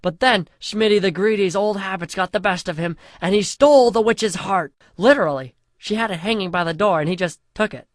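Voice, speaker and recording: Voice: mid-range voice, fairly quick, hardly any pauses, no room sound, clean studio sound. A storyteller reading a scripted comic fantasy parody in a mock-epic way, drawing out the chapter headings.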